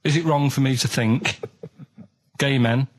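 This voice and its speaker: A man's voice: medium pitch, unhurried. A man with a Mancunian accent telling casual anecdotes as a radio sidekick, earnest and rambling, checking in with short tags as he goes. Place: radio studio. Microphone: studio microphone.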